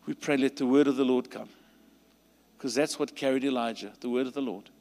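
A man with a South African accent preaching a sermon and leading a call to stand, his delivery rising to emphatic peaks and dropping to quiet pleading prayer. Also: medium pitch, fairly even intonation, fairly quick. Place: large church hall. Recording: long hall reverb.

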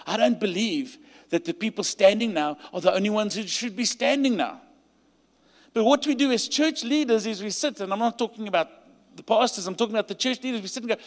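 A man with a South African accent preaching a sermon and leading a call to stand, his delivery rising to emphatic peaks and dropping to quiet pleading prayer. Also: high voice. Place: large church hall. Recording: long hall reverb.

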